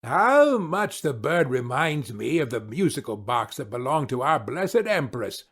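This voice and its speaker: A man's voice: mid-range voice, sing-song, a little slow, fairly bright tone, slightly rough, full character voice. A man voicing a sentimental old knight of a storybook court, exclaiming nostalgically. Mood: nostalgic.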